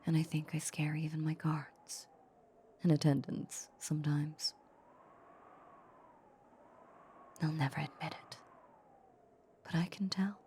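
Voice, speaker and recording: Vampire countess, played by a woman: doting yet possessive, breathy whispered ASMR roleplay, dark mellow tone, very unhurried. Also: sits low, slight background noise. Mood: wistful, lonely. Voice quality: soft, breathy, largely whispered. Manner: slow, coaxing, intimate murmur. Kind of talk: intimate whispered ASMR roleplay audio drama.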